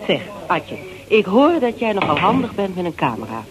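An actress playing a firm, direct young woman in a Dutch radio drama, speaking in a brisk, assertive way. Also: fairly low pitch, big pitch swings, noisy background. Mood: businesslike.

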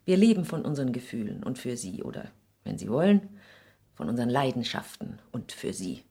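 Husky, proud wounded mother. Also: very deep voice, sing-song, fairly quick, warm tone, exaggerated voice. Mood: bitter. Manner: grave, restrained, bitter. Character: proud, wounded middle-aged mother, coldly resolute. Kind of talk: 1960s radio play acting.

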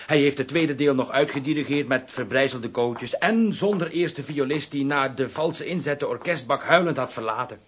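A man's voice: mid-range voice, normal speed, hardly any pauses, a little hiss or hum, close to an everyday voice. A man in a radio drama playing a mild orchestra musician who speaks hesitantly and matter-of-factly, with some deference. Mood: hesitant, earnest. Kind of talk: naturalistic radio drama acting. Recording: slightly dull radio recording.

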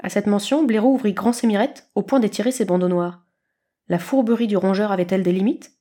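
A woman reading scripted fiction aloud as an expressive storyteller, at a medium pitch. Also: brisk. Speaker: narrator, podfic reader.

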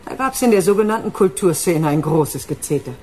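Swooping, syrupy villain's daughter actress. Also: female voice, on the low side, lively intonation, fairly quick, a little mellow, slight background noise, natural voice. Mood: smug. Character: doting, scheming villain's daughter, cloying and smug. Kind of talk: radio crime drama acting.

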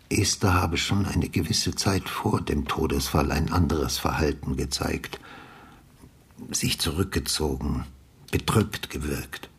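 Very deep, husky retired detective. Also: male voice, heavily acted. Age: older. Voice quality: very husky, breathy bass. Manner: measured, polite, methodical questioning. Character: calm, courteous, probing retired male police detective. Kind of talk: radio drama acting.

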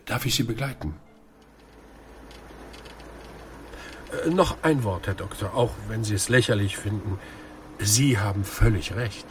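A man playing a calm, resolute volunteer organiser in a German radio play, with a deliberate, questioning delivery. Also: fairly low pitch, a little hiss or hum, natural voice. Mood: thoughtful.

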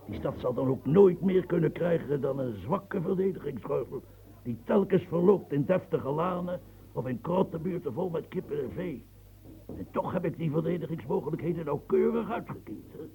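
An actor playing an aging fortress-building marshal in a radio play, declaiming grandly and stammering when moved. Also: male voice, fairly high pitch, lively intonation, moderate pace, rough recording. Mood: brooding, declaiming. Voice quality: gravelly, dark and husky. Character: aging royal fortress engineer, proud, brooding. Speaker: military engineer marshal. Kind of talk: radio drama acting.

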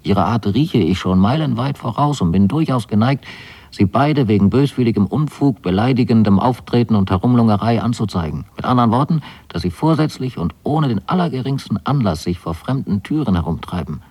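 An actor voicing a gruff, pompous man in a radio play, indignant and emphatic in manner. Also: on the low side, expressive, a little fast, darkish tone. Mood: indignant, threatening. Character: gruff, pompous dark-haired man hostile to intruders. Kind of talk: literary radio drama.

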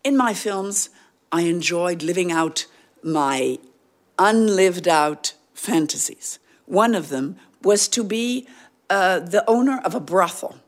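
Woman filmmaker, lower register, reflective first-person interview delivery. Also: lively intonation, takes it slowly. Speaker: artist and filmmaker.

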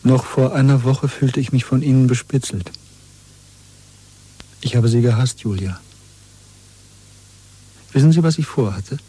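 A man's voice: mid-range voice, a little slow, pauses often, mellow, slightly rough, low-quality recording. An actor in a German radio drama playing a wary, weary man nearing forty who speaks in a guarded, terse way. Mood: bitter, confessional.

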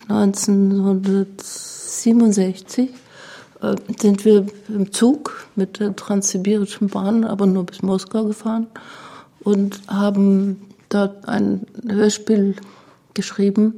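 A woman reminisces in conversation as an interviewee. Her voice is mid-pitched and dark in tone, and she takes her time with a very slow delivery. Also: little pitch movement, gravelly. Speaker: interviewee, author.

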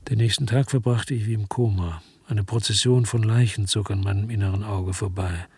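A world-weary former cop tells his own story in a radio crime drama. His voice is on the low side, his phrases terse and literary, his temper brooding and reflective. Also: steady tone, fairly quick, a little mellow, breathy edge. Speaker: lead actor and narrator of a crime radio drama. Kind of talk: first-person radio drama narration.

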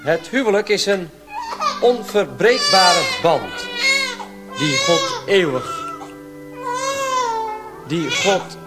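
An actor playing a gentle, frail young village priest in a radio play, solemn and halting. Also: male voice, sits high, wildly swooping pitch, over loud music, full character voice. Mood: solemn, fervent. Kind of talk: Dutch radio play acting.

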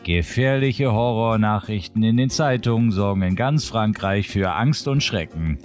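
A man's voice: lowish voice, animated, normal speed, faint music behind. A man presenting a roundup of new releases in scripted announcement narration.

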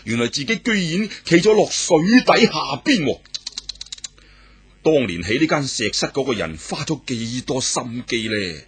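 A man telling a martial arts tale in traditional storytelling style, animated and exclamatory with dramatic pauses. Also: medium pitch, slightly rough.

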